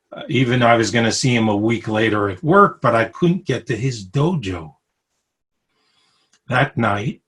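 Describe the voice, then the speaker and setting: A man in his sixties from Brooklyn with a New York accent, telling his life story over a video call, with pauses, fillers and frequent soft chuckles. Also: mid-range voice, slightly rough. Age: 60s. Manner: unhurried, chuckles often, many pauses and fillers. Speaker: interviewee. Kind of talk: reflective personal storytelling.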